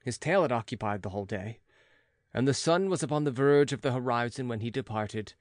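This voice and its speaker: A male audiobook narrator reads classic gothic fiction. His voice sits at a middle pitch, and his delivery is measured and dramatic. The recording is dry, with very little room sound. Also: expressive, no room sound.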